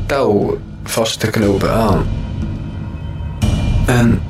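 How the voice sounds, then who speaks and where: A man's voice: on the low side, extremely slow. A man hosting a night-time audio show, narrating dreamy scripted spoken word in a musing, poetic way, repeating words. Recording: echoing voice under loud music. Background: loud music.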